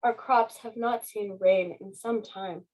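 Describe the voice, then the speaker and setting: A little storybook villager, voiced by a woman reader, welcoming and earnest. The words come slowly, in a mellow, gentle tone. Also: medium pitch, fairly even intonation, pitched below the performer's own voice, poor audio. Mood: earnest, pleading. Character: small, welcoming villager in a drought-stricken land.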